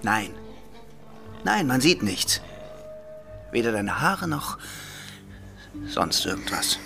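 A man's voice: medium pitch, sing-song, slow, creaky, pushed and bright, faint music behind, full character voice. A hapless young male sidekick in a pirate audio drama. He sounds nervous and sheepish, hesitating as he answers his bolder companion.

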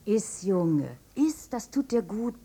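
A woman's voice: medium pitch, lively intonation, slow, warm tone. A woman playing a fussing older mother in a German radio drama, pushing food and nagging under her breath. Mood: coaxing.

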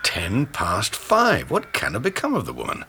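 An actor playing a stern, precise British country doctor in a radio drama, clipped and formal in manner. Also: male voice, on the low side, wildly swooping pitch, normal speed, bright, forceful tone, slight background noise, exaggerated voice. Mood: impatient.

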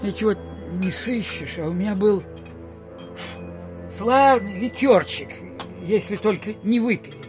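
An actor playing a miserly, suspicious old landowner in an audio drama, muttering fussily and breaking into grumbles and exclamations. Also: male voice, high-pitched, animated, a little slow, a little mellow, faint music behind. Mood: fussy, grumbling. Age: older. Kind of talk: theatrical audio drama acting.

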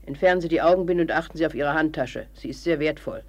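A man's voice: on the high side, fast, dry recording, lightly acted. An actor in a 1950s German radio play playing a curt, businesslike male underworld henchman who gives orders. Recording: noisy old radio recording, vehicle sound.

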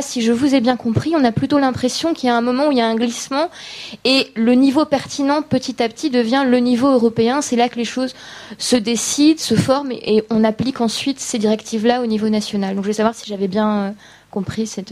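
A woman's voice: on the high side, fairly even intonation, darkish tone. A woman putting a long, carefully reformulated question to a speaker at an academic conference, with a few fillers along the way. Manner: measured, reformulating, some fillers. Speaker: conference attendee asking a question.

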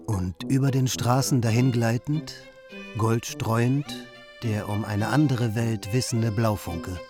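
An actor playing a sardonic, brooding commentator, reciting literary radio drama lines in a declamatory manner. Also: male voice, mid-range voice, takes it slowly, close to an everyday voice. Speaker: second narrator. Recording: prominent music behind the voice.

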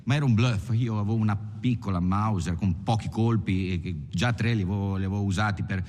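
An actor playing a weary, aggrieved bank robber who tells his story haltingly in a film monologue. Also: lowish voice, darkish tone, a little hiss or hum, lightly acted. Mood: weary, bitter. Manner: halting, repeats words. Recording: long echoing reverb, faint music.

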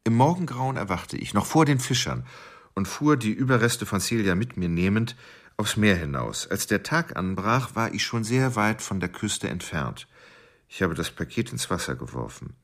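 A remorseless killer recounts his deeds in a radio play. His voice is low and moves widely in pitch, while his manner stays detached and matter-of-fact. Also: animated, moderate pace. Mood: cold, detached. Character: cold, remorseless male killer narrating his crimes. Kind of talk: dramatic first-person radio play monologue.

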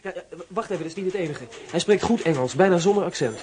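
An actor playing a brisk male police officer who reports to his inspector. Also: sits high, expressive, darkish tone. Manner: hurried reporting.

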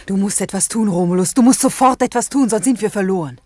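Reproachful empress actress, radio play: mid-pitched, dry close sound, stern, scolding, theatrical. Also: female voice, fast talker, darkish tone, no room sound, lightly acted. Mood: urgent, scolding. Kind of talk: German radio play acting.

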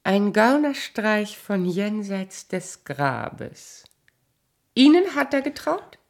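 A woman acting a proud, bitter, calculating queen and abandoned wife in a German radio play, speaking in a cool, sharp-tongued, imperious way. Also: medium pitch, big pitch swings, takes it slowly, gravelly, heavily acted. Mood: sharp, suspicious.

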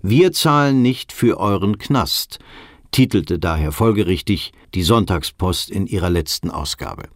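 Lowish voiced guy narrating like a stuffy lecturer, formal, matter of fact, no room sound. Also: lively intonation, measured pace, no echo, clean studio sound. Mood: pedantic. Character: pedantic, dry male essayist-lecturer. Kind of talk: scripted mock-academic documentary narration.

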